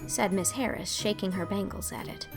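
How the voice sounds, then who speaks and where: A woman narrating a classic novel with an even, storytelling delivery. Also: mid-range voice, moderate pace, music in the background. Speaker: audiobook narrator. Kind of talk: scripted narration.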